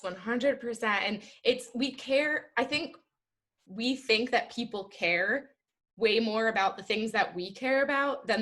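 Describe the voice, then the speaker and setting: A woman's voice: medium pitch, fairly bright tone. A woman guest on a podcast talking about her work as an entrepreneur, in an earnest, chatty way with many "like" fillers. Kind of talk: casual interview chat. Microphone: video call.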